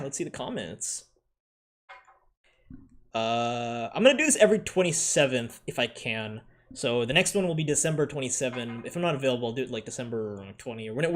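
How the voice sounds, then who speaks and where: A man with an American accent, raised in the Philippines, casually ranking anime in a rambling way, full of fillers and self-corrections. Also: medium pitch. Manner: laughs often while talking.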